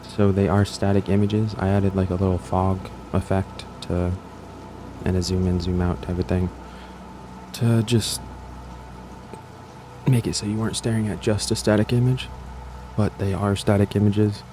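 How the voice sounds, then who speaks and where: A man's voice: lowish voice, flat delivery, moderate pace, noisy background. A man introducing his own audio story to viewers in a casual, rambling, offhand way, repeating himself and trailing off. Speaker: creator and host of an audiobook channel.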